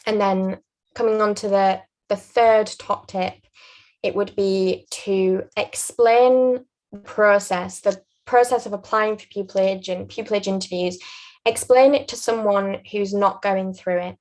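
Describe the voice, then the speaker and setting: A young woman with a Yorkshire accent giving earnest, measured advice as an interview guest. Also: medium pitch, steady tone, darkish tone, poor audio. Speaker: guest, aspiring barrister.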